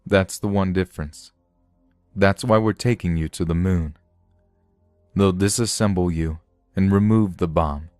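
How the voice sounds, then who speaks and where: A man voicing a cold, matter-of-fact security officer in a science fiction story, deliberate and unmoved. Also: deep voice, darkish tone, no room sound, studio quality, faint music behind. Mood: cold, grave. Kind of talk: dramatised science fiction audiobook.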